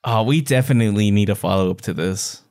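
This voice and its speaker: Male podcast co-host, fairly low voice, casual, slangy and joking. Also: fast, darkish tone. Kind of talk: casual book discussion chat.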